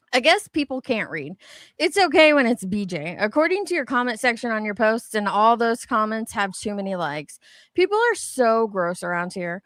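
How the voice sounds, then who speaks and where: A woman with a fairly high voice reads comments aloud in a dramatic, casual way. She is irreverent and sweary, slipping into banter as she goes. The sound is very dry, with no room to it. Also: fairly bright tone, no room sound. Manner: irreverent, sweary, tries on rough accents for fun. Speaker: co-host reading comments aloud.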